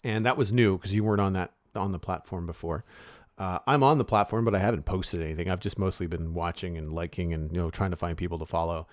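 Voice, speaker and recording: Low-voiced man, rambling podcast chat. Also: quick pace, dry recording, clean studio sound. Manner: rambling, many restarts and fillers. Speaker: podcast co-host.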